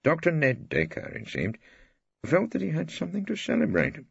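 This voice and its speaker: A man's voice: fairly high pitch, big pitch swings, normal speed, gravelly, slightly rough, dry recording. A middle-aged English man reading first-person fiction aloud in a wry, theatrical storytelling manner, on a slightly dull recording. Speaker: audiobook narrator.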